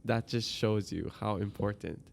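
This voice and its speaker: A man's voice: lowish voice, steady tone, moderate pace, creaky, a little hiss or hum. A man from Hawaii whose first language is Hawaiian, hosting a podcast in a friendly way, laughing often and asking questions. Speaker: podcast host. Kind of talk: casual interview chat.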